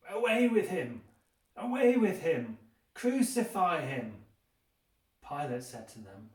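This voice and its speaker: Male scripture reader, dramatic and emphatic, mid-pitched, recorded roomy and distant. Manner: emphatic, raises voice on quoted speech. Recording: echoey room, distant microphone.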